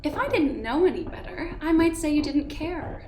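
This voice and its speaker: A woman's voice: sits high, lively intonation, normal speed, a little mellow, reverberant. A woman playing a polished, self-assured female manager in an audio drama, airy, theatrical and patronising. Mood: teasing.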